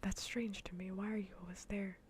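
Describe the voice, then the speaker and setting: A woman livestream host chatting casually in a soft, breathy, mostly whispered ASMR voice, rambling informally and repeating phrases for emphasis. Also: medium pitch, level tone, brisk, slight background noise.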